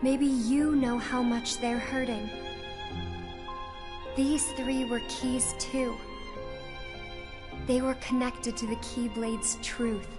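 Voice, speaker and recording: Casting: gentle teenage girl, video game, wistful and solemn, very high voice, slow measured delivery. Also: fairly even intonation. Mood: grave. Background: game music.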